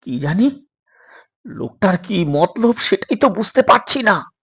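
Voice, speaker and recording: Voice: mid-range voice, sing-song, a little slow, a bit bright, husky, voice pushed up, heavily acted. A storyteller voicing a brave but worried captive boy, speaking with uneasy urgency in an audio story. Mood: worried, reasoning. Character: brave, worried adolescent boys held captive, reasoning together. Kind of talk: dramatized audio story dialogue.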